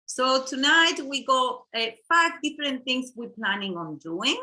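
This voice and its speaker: A middle-aged woman from Chile, co-hosting an online workshop over a video call in a halting, casual way with fillers and restarts. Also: high-pitched, expressive, bright, forceful tone. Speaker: workshop co-host.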